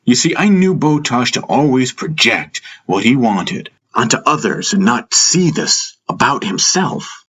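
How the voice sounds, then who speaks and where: Man voicing a sardonic, husky, world-weary king. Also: medium pitch, big pitch swings, fairly bright tone, pitched above the performer's own voice, full character voice. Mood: hesitant, confessional. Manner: sarcastic, halting, hesitant. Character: sardonic, world-weary male king confiding in his brother. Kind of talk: fantasy audiobook character acting.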